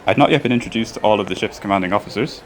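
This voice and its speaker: An actor narrating a radio play as a reflective ship's engineer, telling his memories in a measured, diary-like way. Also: lowish voice, slight background noise, close to an everyday voice. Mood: reflective, proud. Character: reflective male ship's engineer recalling a doomed Arctic voyage.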